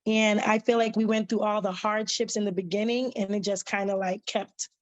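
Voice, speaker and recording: A woman's voice: medium pitch, level tone, normal speed. A woman on a podcast panel reflecting at length on her past, rambling with fillers. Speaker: panel guest. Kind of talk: casual panel discussion.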